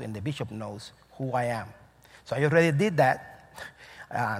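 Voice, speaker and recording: Cuban-accented pastor preaching in a reverberant hall. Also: male voice, medium pitch, breathy edge. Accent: Cuban Spanish. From Cuba. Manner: earnest, repetitive, emphatic preaching with frequent restarts. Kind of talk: sermon. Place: church sanctuary.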